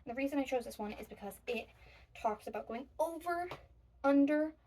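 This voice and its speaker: High-voiced woman presenting children's storytime. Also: brisk, warm tone, distant mic, a little hiss or hum. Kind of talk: demonstrating a children's storytime activity and reading picture books.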